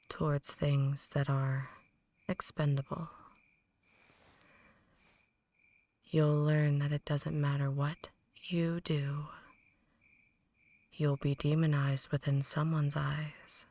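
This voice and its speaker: A woman playing a possessive, ancient vampire in an ASMR roleplay, speaking softly and breathily, often in whispers, with a deliberate, condescending menace. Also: low voice, no room sound, heavily acted. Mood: cold, instructive. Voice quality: soft, breathy, largely whispered. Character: possessive, ancient female vampire mentoring a newborn, cold and menacing. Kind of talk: ASMR roleplay, slow intimate dramatic monologue.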